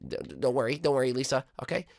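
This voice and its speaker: A woman's voice: extremely low pitch, rapid-fire, a little mellow, no room sound, clean studio sound. A woman voicing a nervous, boastful young boy who stammers and hesitates, in a homemade cartoon play.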